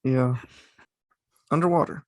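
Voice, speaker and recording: A man co-hosting a casual podcast, talking haltingly with frequent restarts and fillers. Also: medium pitch, no echo. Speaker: podcast co-host.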